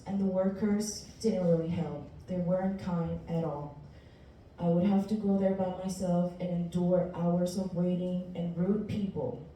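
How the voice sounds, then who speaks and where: A woman in her twenties from Ecuador, a native Spanish speaker, giving an earnest, deliberate prepared presentation as a university student. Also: on the low side, dark tone. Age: 20s. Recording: echoey room, distant mic, faint noise.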